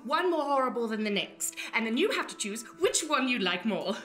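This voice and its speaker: Sassy, high-voiced potion witch, played by a woman. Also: big pitch swings, fairly quick, fairly bright tone. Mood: playful. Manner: teasing, mock-archaic phrasing. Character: Gwyn, sassy female potion tender. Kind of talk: improvised comedy radio play. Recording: music behind the voice.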